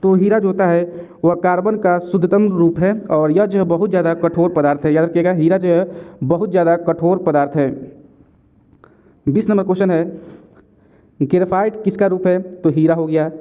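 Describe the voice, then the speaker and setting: A man's voice: sits high, steady tone, moderate pace. A man teaching exam questions and answers in a didactic way, repeating key points as reminders. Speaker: exam-prep chemistry teacher. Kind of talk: question-and-answer lesson narration. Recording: narrow, tinny phone-like sound.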